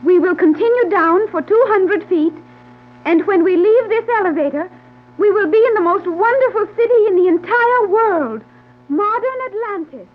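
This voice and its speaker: An actress playing a calm, formal young guide in a 1930s radio serial, speaking in a measured, precise way. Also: female voice, extremely high pitch. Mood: grave, assured. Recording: scratchy, tinny, humming 1930s radio recording.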